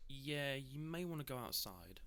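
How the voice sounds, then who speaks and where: A man's voice: mid-range voice, animated, normal speed, fairly bright tone, natural voice. A man acting a cheeky, opportunistic, business-minded friend in a comedy audio drama. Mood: cheeky, defensive.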